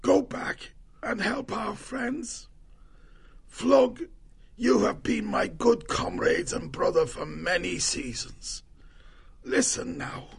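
A man voicing a wounded, commanding old shrew leader in a hoarse, gravelly, laboured voice for a storybook. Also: high-pitched, exaggerated voice. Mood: weary, solemn. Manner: slow, laboured, solemn.

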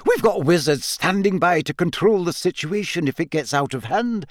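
An anxious older witch professor from a fantasy storybook, acted out by a male narrator, speaks in a raised, shaky voice. She is brisk and urgent, trying to keep order through her nerves. The sound is dry and close. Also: high voice, lively intonation, no echo, voice pushed up.

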